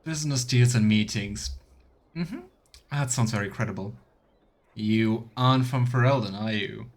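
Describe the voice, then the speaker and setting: A man's voice: lowish voice, moderate pace. A man doing casual let's play commentary as a game streamer, chatting and reading dialogue choices aloud.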